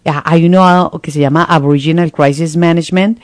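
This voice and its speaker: A host interviewing a guest in a conversational way and slowly dictating phone numbers for listeners. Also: mid-range voice, steady tone, no echo, studio quality.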